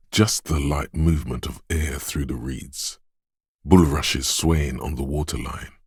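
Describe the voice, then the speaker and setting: A man voicing an inner sense of perception in a video game, narrating in a hushed, husky, deliberate and poetic way, savoring each sound. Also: extremely low pitch, no echo, clean studio sound, full character voice. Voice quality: husky, gravelly, breathy. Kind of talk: atmospheric scripted game narration.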